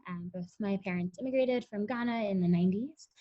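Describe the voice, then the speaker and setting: A woman's voice: medium pitch, a little fast. A woman, answering interview questions in a chatty way full of ums and likes. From Connecticut, US. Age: 20s. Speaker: interview guest, tech startup founder. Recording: muffled lo-fi audio.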